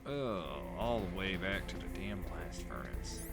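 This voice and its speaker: Male let's player, lowish voice, offhand and foul-mouthed casual commentary, strong music underneath. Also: lively intonation, measured pace. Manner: offhand, sweary. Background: loud video game music.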